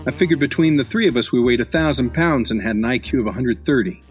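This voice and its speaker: A man plays a tough, hard-boiled newspaperman in a noir radio drama. His voice sits at a middle pitch. He talks in slangy, sardonic wisecracks. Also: quick pace, husky, soft background music, natural voice. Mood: wisecracking. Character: wisecracking hard-boiled male beat reporter. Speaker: actor in an audio drama. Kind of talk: noir radio play narration and dialogue.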